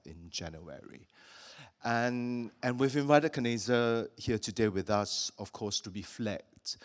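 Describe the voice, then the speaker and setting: A man from Singapore hosting a public talk with an artist, speaking thoughtfully with many pauses and fillers. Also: lowish voice. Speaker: host.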